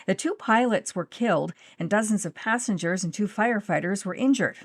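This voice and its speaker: A woman's voice: mid-range voice, brisk, no room sound, clean studio sound. A woman reading a scripted radio newscast as the anchor. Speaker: news anchor.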